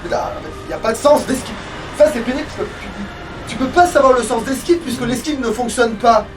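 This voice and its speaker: High-voiced man grumbling through gameplay, distant mic. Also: fairly quick. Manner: grumbling, exasperated, rambling. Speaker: let's player. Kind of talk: casual gaming commentary. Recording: distant mic with faint background noise.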